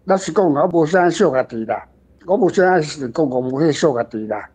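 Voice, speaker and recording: A man's voice: a little high, lively intonation, fairly quick, a little mellow, slightly rough. An older man with a Taiwanese accent speaks off the cuff as a guest author, rambling in a plain, emphatic way and repeating himself. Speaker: author guest answering questions. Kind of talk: unscripted literary discussion.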